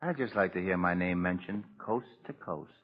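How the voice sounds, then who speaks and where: Sneering American death-row gangster, slangy, taunting, 1940s radio drama, long reverb, dull old recording. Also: male voice, sits low, expressive, moderate pace, husky. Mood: cocky, sneering.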